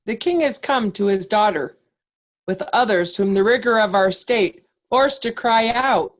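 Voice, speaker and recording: A woman's voice: medium pitch, a little slow, natural voice. A woman playing a formal, grave duke addressing allies in a Shakespeare reading. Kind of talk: readers' theatre Shakespeare.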